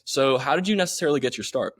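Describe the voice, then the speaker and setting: A man's voice: mid-range voice, expressive, rapid-fire, dry recording, studio quality. A young man hosting a podcast, reading an introduction and then asking eager, upbeat questions. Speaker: podcast host.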